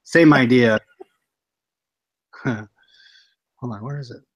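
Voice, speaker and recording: A young man co-hosting a casual livestream chat, relaxed and matter-of-fact. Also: mid-range voice, takes it slowly. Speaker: co-host.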